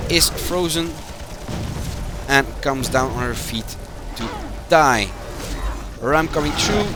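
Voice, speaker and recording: A man from Belgium, a native Dutch speaker with a Belgian Dutch accent, commentates a live game stream in halting, short phrases. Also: medium pitch. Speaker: esports match commentator, community manager.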